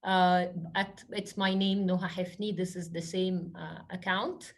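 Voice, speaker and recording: A woman's voice: on the low side, little pitch movement, measured pace. A woman from Egypt giving earnest, flowing answers as a guest speaker in a webinar, often saying "you know". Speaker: guest speaker, social entrepreneur. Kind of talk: webinar Q&A talk.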